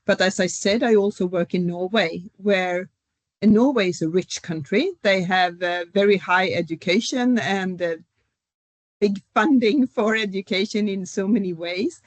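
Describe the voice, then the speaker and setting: Middle-aged woman academic, calm and explanatory, mid-range voice, dry recording. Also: medium pitch, no echo. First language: Swedish. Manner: measured, explanatory, with fillers. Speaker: professor, panel guest. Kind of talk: academic panel discussion.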